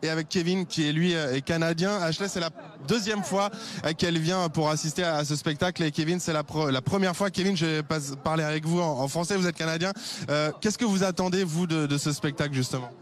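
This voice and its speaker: A man's voice: on the high side, fast. A man reporting live from the street, interviewing tourists and translating their answers. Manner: translates for guests, some stumbles. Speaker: field reporter. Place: outdoors on a busy avenue.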